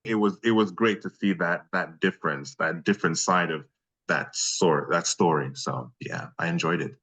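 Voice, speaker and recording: A man speaking conversationally as an interviewed voice actor, in a reflective, halting way with emphatic repeats. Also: deep voice, a bit bright, husky.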